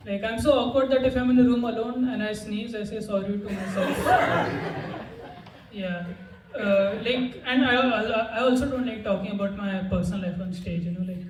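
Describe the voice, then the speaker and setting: A young man with a high voice does stand-up on a club stage, stammering now and then. His delivery is awkward and self-mocking. The sound is roomy and distant, with clear background noise. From India. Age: young adult. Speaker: stand-up comedian. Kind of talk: stand-up set. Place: comedy club stage with audience.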